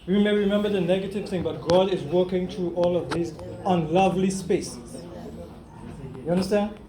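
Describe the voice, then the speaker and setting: A man's voice: sits high, moderate pace. An older Trinidadian man giving an earnest, preachy speech to relatives at a family gathering, repeating phrases for emphasis. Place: home gathering after a funeral service. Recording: echoey room with loud background noise.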